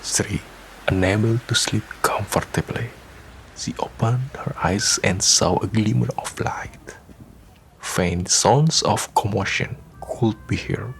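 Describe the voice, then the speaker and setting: Male radio drama narrator: deep, very slow, grave and measured storytelling. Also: gravelly, whispered, rough recording. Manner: solemn, measured. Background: faint music.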